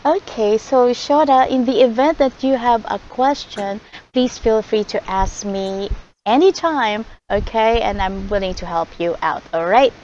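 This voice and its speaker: A woman's voice: on the high side, measured pace, darkish tone. A Filipino woman with a Filipino accent whose first language is Tagalog, tutoring English online in an upbeat, encouraging way. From Philippines. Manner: upbeat, encouraging, laughs often. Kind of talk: one-on-one online lesson. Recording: slightly muffled call audio with faint hiss.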